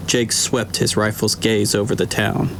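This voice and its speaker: A man narrating his own audiobook in a measured storytelling manner. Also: fairly low pitch, level tone, noisy background. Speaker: author narrator.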